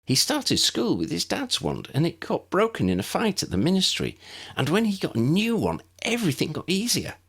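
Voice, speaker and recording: Guy doing a polite young witch girl, pitched way up, eager n kinda unsure, storybook. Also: huge pitch swings, normal speed, fairly bright tone, a little hiss or hum, exaggerated voice. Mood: tentative, earnest.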